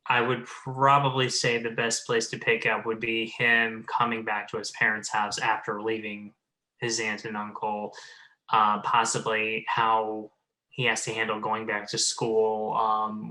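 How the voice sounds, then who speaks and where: A man with a notably bright, forward voice leads a discussion. He explains his ideas thoughtfully, in the style of a reflective conversation about creative work. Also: mid-range voice, poor audio. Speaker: podcast host.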